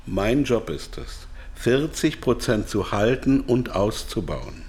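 Placating captive manager, swinging pitch. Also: male voice, big pitch swings, takes it slowly, a little mellow. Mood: explaining. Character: captive male pharma manager, anxiously compliant, slick with jargon. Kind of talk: German radio drama acting.

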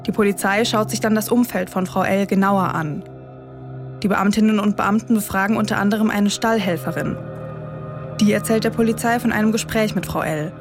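Female true-crime podcast host, mid-range pitch, measured scripted storytelling, clear music bed underneath. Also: steady tone.

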